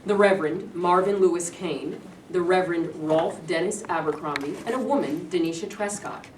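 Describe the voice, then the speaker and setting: A woman's voice: fairly low pitch, moderate pace. A woman narrating the stage directions of a scripted play at a staged reading. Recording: echoing room, distant mic, clear noise.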